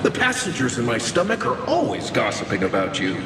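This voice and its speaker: An actor playing a jovial talking train creature in a video game, theatrical and gently boastful. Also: male voice, mid-range voice, wildly swooping pitch, fairly quick, heavy background noise. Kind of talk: video game character acting. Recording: long echoing reverb under loud music.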